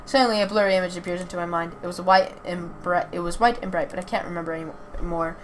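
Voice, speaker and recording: Low-pitched woman reading aloud, noisy background. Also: fairly even intonation, fast. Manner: stumbles over words and corrects herself. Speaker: reader of a visual novel playthrough. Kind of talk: reading a story aloud, casual reactions. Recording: clear background noise.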